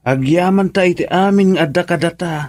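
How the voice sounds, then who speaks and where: A man playing a gentle, devout father in an Ilocano radio drama, giving measured, grateful counsel to his child. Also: high-pitched, dry recording, studio quality, close to an everyday voice. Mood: gentle, thankful.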